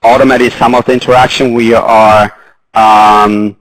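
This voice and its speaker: A man with a Swedish accent speaking as a panelist, halting and full of fillers and restarts. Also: mid-range voice, little pitch movement, a little hiss or hum, poor audio. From Sweden. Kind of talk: panel discussion.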